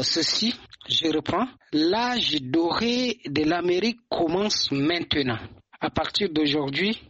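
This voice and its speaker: Husky-voiced man giving radio commentary. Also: fairly high pitch, fairly bright tone, no room sound, highs cut off. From Chad. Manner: measured, hesitant with frequent fillers. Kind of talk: testimony on a radio program.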